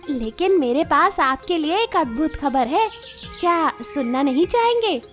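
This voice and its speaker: Woman voicing a high, coaxing sly fox. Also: lively intonation, takes it slowly, a bit bright, soft background music, exaggerated voice. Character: clever, sly, persuasive fox. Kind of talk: children's animal story voice acting.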